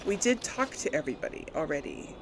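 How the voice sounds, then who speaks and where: A woman's voice: lowish voice, sing-song, fast, rough recording. A woman giving casual, unscripted game commentary to viewers, rambling a little as she thinks aloud. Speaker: let's play host.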